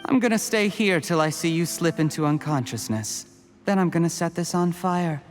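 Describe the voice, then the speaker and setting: An actor playing a scheming male official who calmly gloats over his victim, with a menacing, measured delivery. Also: on the high side, faint music behind, lightly acted. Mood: gloating. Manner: calm, menacing, drops to a hushed whisper. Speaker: game character.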